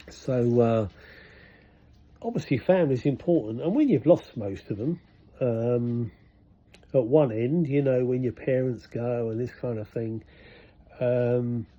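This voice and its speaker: A man's voice: mid-range voice, dark tone, breathy edge. An older man, chatting casually and rambling in a vlog, full of fillers and restarts. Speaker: vlogger. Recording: dull lo-fi sound.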